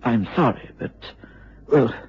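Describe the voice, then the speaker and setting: An actor playing a loyal butler in a radio drama, respectful and hesitant, with an anxious, pleading manner. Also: male voice, mid-range voice, takes it slowly, a little hiss or hum, lightly acted. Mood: apologetic, earnest. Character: loyal, deferential male butler, anxious and apologetic. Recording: dull radio drama broadcast recording.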